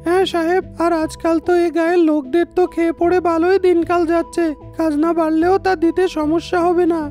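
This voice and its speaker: A woman's voice: very high voice, level tone, fairly quick, mellow, faint music behind. A woman voicing an obsequious, deferential landlord's steward in a cartoon. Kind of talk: cartoon dubbing.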